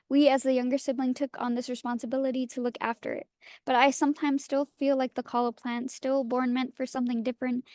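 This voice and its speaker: A young woman from Hawaii performing spoken word poetry in a measured, rhythmic recitation at a reading. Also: sits high, darkish tone, no room sound, studio quality. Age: young adult. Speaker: featured youth poet. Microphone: handheld mic at a reading.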